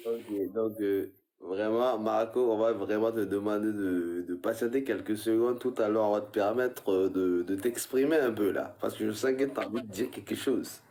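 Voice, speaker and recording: A man's voice: on the low side, moderate pace, hardly any pauses, dark tone, a little hiss or hum. A man co-hosting a show, introducing guests and handing over the floor with frequent fillers. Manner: filler-heavy hosting, many "euh". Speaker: co-host.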